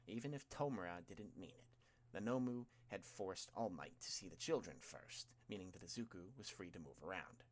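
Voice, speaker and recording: A man's voice: deep voice, lively intonation, moderate pace. A man reading fan fiction as an audiobook narrator, in a dramatic scripted style.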